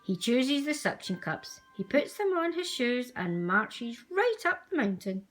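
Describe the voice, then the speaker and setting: A woman reading a children's storybook aloud as a storyteller, with expressive, deliberate delivery. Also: fairly high pitch, soft background music. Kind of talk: scripted storybook narration for young children.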